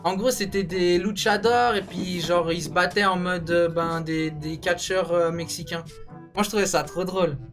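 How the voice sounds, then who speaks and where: High-voiced man in slangy, enthusiastic banter. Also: fairly even intonation, normal speed, faint music behind. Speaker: co-host of a video channel. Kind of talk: casual banter, ranking cartoons.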